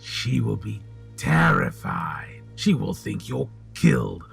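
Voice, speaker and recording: A man playing a sly, sinister old potion seller in an audio drama, with a gravelly, husky voice and a deliberate, insinuating manner. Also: fairly low pitch, a bit bright, soft background music, exaggerated voice.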